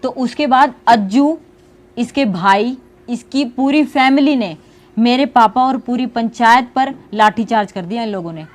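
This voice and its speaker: A woman's voice: fairly high pitch, unhurried. A woman giving accusatory, aggrieved personal testimony.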